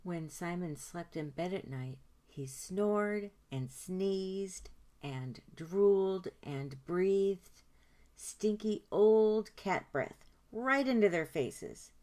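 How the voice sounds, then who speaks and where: A woman with a low-pitched voice reads a picture book aloud for children. Her delivery is expressive and deliberate, and she draws out key words for emphasis as a storyteller would. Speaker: storytime host reading aloud.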